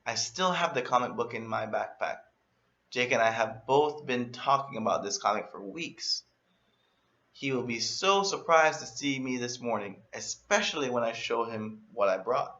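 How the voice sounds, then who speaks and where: A man reading a children's story aloud in an animated, expressive way, as a storyteller. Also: mid-range voice, normal speed, highs cut off. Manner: expressive, acts out emphasis and exclamations.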